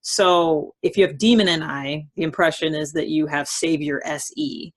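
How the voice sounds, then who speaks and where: Lower-pitched woman hosting a casual interview. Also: fairly even intonation, normal speed. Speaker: host interviewing a guest.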